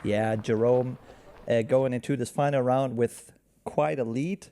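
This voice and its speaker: A man's voice: mid-range voice, fairly even intonation, measured pace, warm tone, slight background noise. A man co-commentating on a disc golf round, chatting and reading out player stats. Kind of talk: sports commentary.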